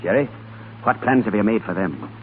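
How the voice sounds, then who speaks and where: An actor playing a resolute Irish rebel leader with an Irish accent in a 1930s radio drama. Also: male voice, fairly low pitch, lively intonation, moderate pace, natural voice. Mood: firm, grave. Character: resolute, principled Irish rebel leader, quick to play innocent. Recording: tinny, noisy 1930s radio recording.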